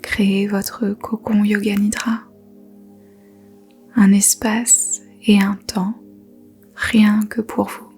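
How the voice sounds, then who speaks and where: A woman teaching yoga nidra, speaking softly in a whispery voice and giving slow, gentle meditation instructions with long pauses. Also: medium pitch, little pitch movement, husky, soft background music. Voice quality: soft whispery voice. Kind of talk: guided meditation.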